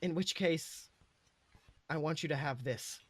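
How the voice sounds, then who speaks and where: A man voicing a proud, encouraging father through a letter, in a measured and sincere way. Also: on the high side, a bit bright, no echo. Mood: solemn.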